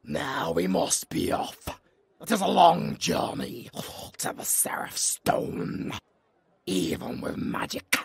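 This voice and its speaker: A man voicing a grave old goblin lord in a fantasy audio drama, with a gravelly, breathy growl and a formal, solemn manner. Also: medium pitch, wildly swooping pitch, slow, full character voice. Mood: commanding. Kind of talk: fantasy audio roleplay acting.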